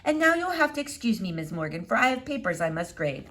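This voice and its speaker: Lady doing a stern teacher lady from a kids book, firm n dismissive, pitch moves around a lot. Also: sing-song, fast, a bit bright. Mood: brisk, curt. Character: sharp, stern, dismissive woman schoolteacher. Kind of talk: children's storybook read-aloud.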